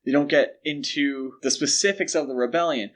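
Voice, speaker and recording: A man's voice: fairly high pitch, moderate pace. A man hosting a podcast, talking through films in a casual, analytical and emphatic way. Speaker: podcast host. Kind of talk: casual analytical film discussion.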